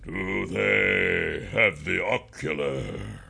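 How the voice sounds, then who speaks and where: A man's voice: low voice, sing-song, gravelly, sharp tone, husky, heavily acted. A man voicing a cursed elven king turned savage beast in a fantasy audiobook, throaty, grave and pained, forcing out ominous words. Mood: pained, strained. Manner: halting, forced, ominous words.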